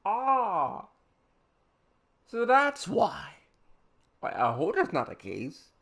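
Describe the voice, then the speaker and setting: A man's voice: high voice, huge pitch swings, extremely slow, vocal fry, a bit bright. A young man streaming himself playing a video game, commenting casually, chuckling often and talking back to the characters. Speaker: gaming streamer. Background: game music.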